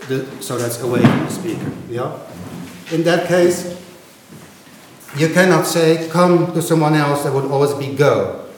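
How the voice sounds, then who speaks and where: Dutch-native male lecturer, patient explaining, very echoey hall, faint background noise; steady, mid-pitched voice. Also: measured pace. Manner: explanatory, checks understanding with frequent "right?". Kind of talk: university lecture on linguistics. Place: lecture room.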